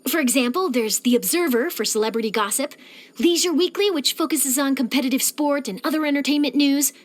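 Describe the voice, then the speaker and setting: A woman voicing an eager, nosy young female journalist in a video game, chatty, theatrical and expressive. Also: high voice, a little fast, lightly acted. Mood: earnest, informative. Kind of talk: animated game character voice lines.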